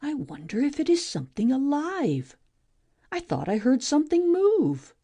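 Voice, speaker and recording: A woman playing a curious, highly animated maiden. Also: normal speed, full character voice. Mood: wondering, eager. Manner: wondering, musing aloud. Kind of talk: amateur audiobook play reading, expressive.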